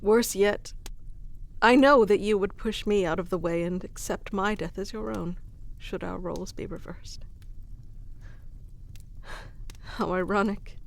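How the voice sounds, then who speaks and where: A woman's voice: medium pitch, moderate pace, vocal fry, close to an everyday voice. A woman voicing a devoted, lovestruck fantasy noblewoman in an ASMR romance roleplay, earnest and dramatic with formal storybook phrasing. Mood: solemn, wistful.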